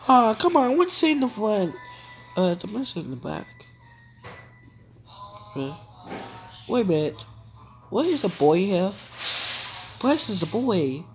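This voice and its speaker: A woman's voice: medium pitch, big pitch swings, slow pace, long pauses, over music. A woman improvising a cartoon story, rambling playfully and switching into character voices. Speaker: hobby animator narrating and voicing characters. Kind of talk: improvised cartoon play-along narration.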